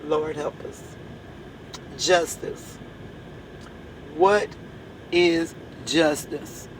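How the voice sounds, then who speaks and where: Hoarse woman preaching. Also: sits low, fairly even intonation, very slow, background noise. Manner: emphatic, repeats rhetorical questions. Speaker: church leader. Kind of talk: impassioned church address.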